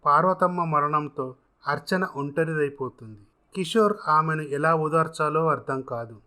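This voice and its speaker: A man's voice: fairly high pitch, normal speed, sharp tone. A man narrating a scripted dramatic story as a storyteller.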